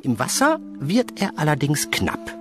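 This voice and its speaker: A nerdy, enthusiastic know-it-all in a radio comedy. His voice sits fairly high and leaps all over as he lectures pedantically, with music behind him. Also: huge pitch swings, measured pace. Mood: lecturing, pleased. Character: eager, pedantic know-it-all heavenly agency employee. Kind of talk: comedic radio play acting.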